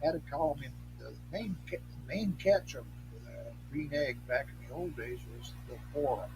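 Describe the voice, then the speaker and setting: An older man chatting casually and reminiscing in a rambling, halting, anecdotal way. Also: fairly high pitch, expressive, a little mellow, poor audio. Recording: clear background noise and hum.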